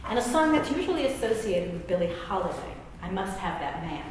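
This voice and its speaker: A woman's voice: mid-range voice, big pitch swings, a little fast, a little mellow. Middle-aged American woman in an echoey hall. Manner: wry, self-deprecating, conversational. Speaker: author and English professor. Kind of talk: public reading of a personal essay, with asides. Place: large reverberant room at a live reading. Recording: very echoey hall, faint background noise.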